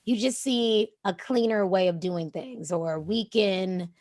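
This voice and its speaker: A woman with a slightly raspy voice talks in a calm, easy flow. She is a DJ guest in a casual interview, often tossing in "you know what I'm saying." Her pitch sits in the middle. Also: slow pace. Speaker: DJ and Twitch streamer, guest.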